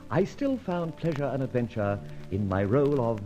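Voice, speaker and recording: An actor playing a suave, witty British gentleman thief with jaunty old-fashioned slang in a radio detective drama. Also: medium pitch, big pitch swings, normal speed, soft background music, lightly acted. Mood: wry, self-assured. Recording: dull, lo-fi old radio recording.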